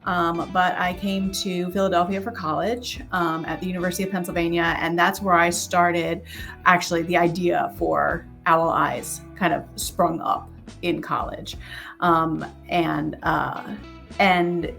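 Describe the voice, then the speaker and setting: A woman's voice: lowish voice, creaky, a bit bright. An American woman around forty, from Connecticut, talking casually as an interview guest on a video call and telling her own story. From Connecticut, United States. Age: 30s or 40s. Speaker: interview guest, author and teacher.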